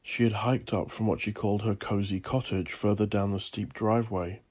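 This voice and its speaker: A man narrating a scripted horror story in a theatrical, deliberate, ominous manner. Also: on the low side, steady tone, a little mellow. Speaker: audio drama narrator.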